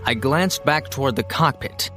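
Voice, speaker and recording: A man's voice: mid-range voice, animated, moderate pace, pushed and bright, soft background music. An actor playing a wry, self-mocking young hero who narrates his own adventure in an audio drama, confiding. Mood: reflective. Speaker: first-person narrator.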